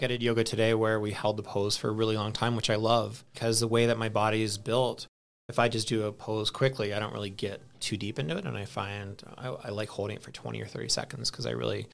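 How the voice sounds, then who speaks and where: A man's voice: lowish voice, steady tone, a little fast, creaky. A man in his thirties, a podcast guest, chatting casually in an easygoing, rambling way and laughing at himself. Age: 30s. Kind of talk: casual chat.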